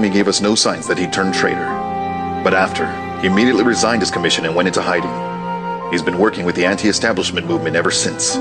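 A composed military colonel from an anime, acted by a man, with a deep, low voice. He is dry, sardonic and authoritative, and music plays behind him. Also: lightly acted. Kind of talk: anime fan dub acting.

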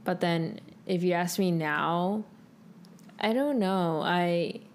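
Lower-pitched young woman chatting casually. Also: slow. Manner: informal, thinking aloud, peppered with "like". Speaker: podcast co-host. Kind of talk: casual chat.

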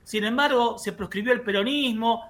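A woman's voice: on the high side, moderate pace, a bit bright. A woman hosting a radio talk show, politely cutting in to steer the guest. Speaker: radio host. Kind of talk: interview questions.

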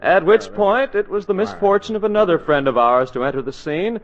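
A man's voice: sits high, brisk. A man narrating a 1940s radio comedy as announcer, theatrical and wry. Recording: muffled 1940s radio broadcast.

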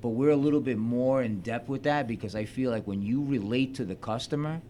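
A man's voice: medium pitch, brisk, dark tone, slight background noise. A man, a shop co-owner telling stories and acting out quoted dialogue in a casual interview. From Long Beach, New York. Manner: storytelling, acts out quoted dialogue.

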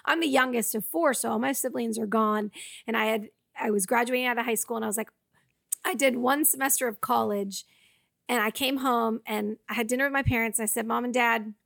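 A young woman from the Boston area with a raspy voice, chatting as a guest in a casual interview, talking loosely with many fillers and frequent laughs. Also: fairly high pitch, fairly quick, fairly bright tone, dry recording, studio quality. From Boston area, United States.

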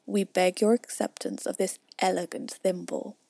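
A grave storybook dodo, voiced by a woman, solemn and ceremonious in every phrase. The tone is mellow and formal, with a creaky edge in a dry recording. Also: fairly low pitch, moderate pace, no echo.

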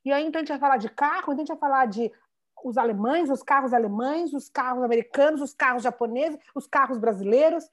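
A Brazilian-born woman in her thirties, telling stories with lots of restarts in a relaxed interview. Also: sits high, fast. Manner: animated storyteller, many restarts and "né". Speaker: founder of a Portuguese school for children. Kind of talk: conversational interview.

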